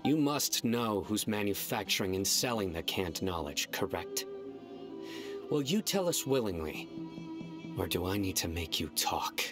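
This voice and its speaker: A man's voice: low voice, very animated, unhurried. A man voicing a stern, relentless young investigator in a game, curt and commanding. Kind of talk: game voice acting. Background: game music.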